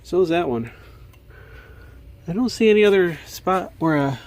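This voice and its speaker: A man's voice: high voice, expressive, normal speed, a little mellow. An American man giving a casual show-and-tell, thinking aloud in a hesitant, puzzled way. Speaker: hobbyist video maker. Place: indoors at a home workbench.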